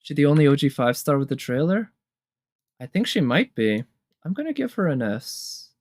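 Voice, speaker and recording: A man's voice: fairly high pitch, sing-song, moderate pace, a little mellow, no echo, studio quality. A man giving casual, enthusiastic reaction commentary as a host, thinking aloud as he goes. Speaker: host of a game trailer tier list. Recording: music behind the voice. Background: game trailer music.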